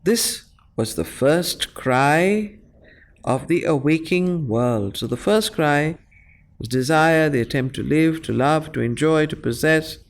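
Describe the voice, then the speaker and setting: A woman with an Indian accent reciting verse and explaining it as a study circle facilitator, in a deliberate, emphatic, explanatory way. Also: deep voice. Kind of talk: recitation of verse with teaching commentary.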